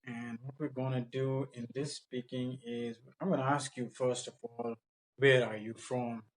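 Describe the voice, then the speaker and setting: A man conducting a spoken English practice interview, asking questions in a deliberate, formal way. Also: medium pitch, little pitch movement, low-quality recording. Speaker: English speaking test interviewer.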